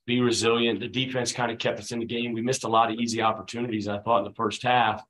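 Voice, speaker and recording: A man in his forties, a head basketball coach, answering questions at a postgame press conference in a relaxed, conversational way with many fillers, speaking into a table microphone. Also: medium pitch, quick pace, rough recording. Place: press room at a team table, his children beside him.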